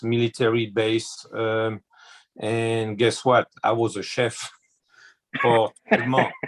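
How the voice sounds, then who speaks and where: A man in his sixties with a French accent, a chef telling his life story in an interview, slow and hesitant with many fillers. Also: medium pitch. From France. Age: 60s.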